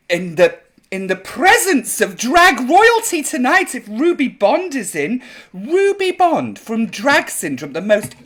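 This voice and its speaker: A man hosting a live chat show in a camp drag persona, sounding a bit croaky. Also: extremely high pitch, fairly bright tone. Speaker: drag queen host. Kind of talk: live-streamed chat show, reading viewer comments.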